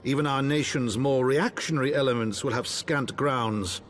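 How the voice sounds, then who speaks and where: An actor playing a composed, courtly elven count and father in a fantasy video game, with formal, measured wording. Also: mid-range voice, natural voice. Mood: composed, surprised.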